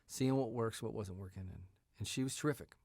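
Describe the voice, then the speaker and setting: A man with a fairly low voice speaks as a guest in a casual interview. His talk is informal and conversational, and the studio sound is close and dry. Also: brisk, gravelly, darkish tone, dry recording. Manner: informal, often checks with "you know". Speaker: writer and actor, interview guest.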